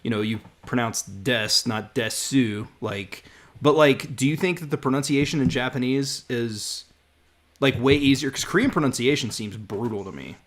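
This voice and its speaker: A man's voice: medium pitch, moderate pace. A man hosting a podcast in casual chat, often repeating words and trailing off with fillers. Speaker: podcast host.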